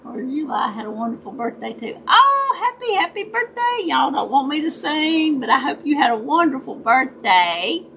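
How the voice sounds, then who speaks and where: A woman with a raspy voice, chatting casually with her viewers during a crafting livestream and greeting them by name. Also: high voice, very animated, moderate pace, hardly any pauses, pushed and bright, a little hiss or hum. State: raspy voice, dry throat. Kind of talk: casual chat while crafting.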